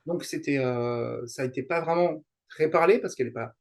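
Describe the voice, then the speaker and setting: A man speaking as a guest in a casual interview, explaining things haltingly and rephrasing as he goes. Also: a little high, creaky. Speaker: interview guest, YouTuber and translator.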